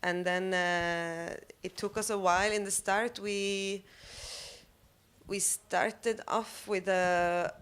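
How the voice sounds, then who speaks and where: A woman answering as a panelist, thoughtful and halting, with a dry self-deprecating turn, in a cafe. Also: mid-range voice, steady tone, fairly bright tone, smooth. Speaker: panelist, member of a collective. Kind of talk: live panel discussion. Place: cafe of an arts venue.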